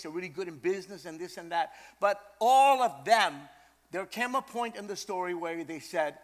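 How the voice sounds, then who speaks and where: High-voiced older New man preaching. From Brooklyn, New York, USA. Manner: animated, emphatic, repeats phrases for effect. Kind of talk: storytelling sermon.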